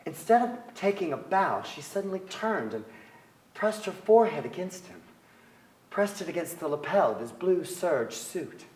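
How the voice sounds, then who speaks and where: A man's voice: a little high, a little hiss or hum. An actor playing a wistful man recalling his boyhood in theatrical literary narration. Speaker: stage actor in a staged reading. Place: theatre stage. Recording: echoey theatre hall. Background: violin music.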